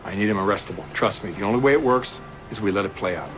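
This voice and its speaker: An actor playing a dogged, terse police detective with a clipped, dry delivery in a crime audio drama. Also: male voice, lowish voice, fast talker, background noise, natural voice. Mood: firm, businesslike. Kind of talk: television-style crime audio drama acting.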